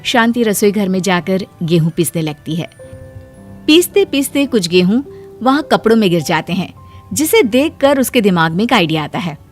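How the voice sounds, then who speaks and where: A woman's voice: medium pitch, animated, normal speed, faint music behind. A woman narrating a scripted children's story as its storyteller.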